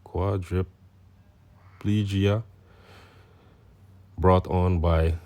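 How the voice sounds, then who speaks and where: A man's voice: low-pitched, steady tone, drawn-out words, darkish tone. A man with a Dutch accent, a native Dutch speaker, giving a preaching commentary as he reads aloud, earnest and peppered with "you know" and "you see.". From Netherlands. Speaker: religious commentator.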